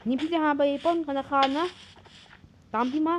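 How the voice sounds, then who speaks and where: A woman with a high, light voice narrates a game cartoon and hops from one character's lines to the next. Her delivery is playful and lively throughout. Clear background noise runs under the recording. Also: moderate pace.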